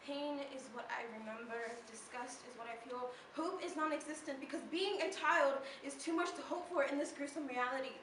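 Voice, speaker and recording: High-voiced teenage girl, solemn, far off. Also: fairly quick, hardly any pauses, fairly bright tone. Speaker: slam poet. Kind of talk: slam poetry performance. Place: live poetry event, recorded from a distance. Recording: distant echoey room recording with noise.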